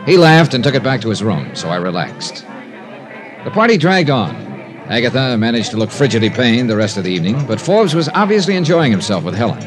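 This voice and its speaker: An actor playing a wry, hard-boiled insurance investigator with a dry delivery in a 1950s radio crime drama. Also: male voice, medium pitch, very animated, fairly quick, a crowd in the background, close to an everyday voice. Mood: dry, tense. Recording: old 1950s radio recording with music.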